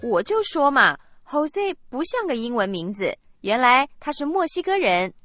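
A woman's voice: high voice, very animated, normal speed, a bit bright. A woman co-hosting a scripted radio language lesson, explaining in a chatty way into a studio mic.

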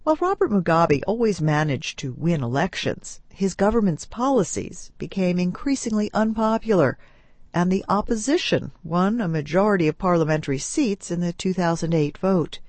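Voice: medium pitch, animated, normal speed, darkish tone, dry recording. A reporter reading a scripted news feature narration.

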